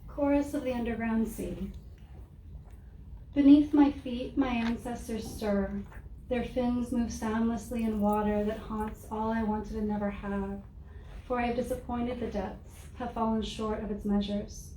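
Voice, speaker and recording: A soft-spoken woman reading her own poems aloud in a measured, deliberate way to a live audience. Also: a little high, fairly even intonation. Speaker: poet reading her work. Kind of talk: poetry reading. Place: room with an audience. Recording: roomy, distant mic with faint noise.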